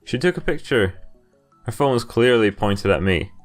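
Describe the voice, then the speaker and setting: A man's voice: medium pitch, lively intonation, moderate pace, soft background music. A man hosting a game playthrough, reading visual novel narration aloud and breaking off for casual commentary. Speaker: video game playthrough host.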